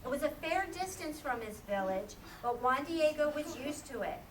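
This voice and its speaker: A woman's voice: high-pitched, lively intonation, moderate pace. A woman narrating a scripted story for a children's puppet show. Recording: echoey room, distant mic, background noise.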